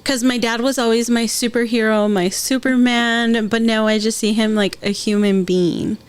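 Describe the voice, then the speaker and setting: A woman hosting a podcast, chatting warmly with her guest and slipping Spanish phrases into her English. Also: fairly high pitch, steady tone, moderate pace, smooth. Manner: warm and chatty, mixing in Spanish phrases, calls guest "girl". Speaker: podcast host. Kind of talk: casual podcast interview.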